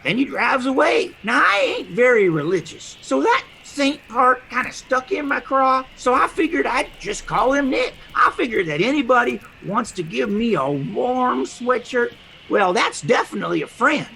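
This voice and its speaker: An actor playing a wily, good-hearted homeless character in an audio drama, with a gravelly voice and a folksy, playful, ungrammatical way of talking. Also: medium pitch, very animated, moderate pace, bright, forceful tone, a little hiss or hum, heavily acted. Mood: mock confessing. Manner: folksy, playful, ungrammatical drawl with mangled words.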